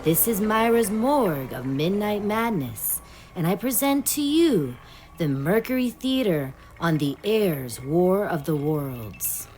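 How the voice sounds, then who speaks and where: A woman's voice: lowish voice, very animated, slow pace, a little mellow. A woman hosting a horror show, reading a scripted spooky introduction in a theatrical, suspenseful manner. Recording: music playing behind the voice. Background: prominent music.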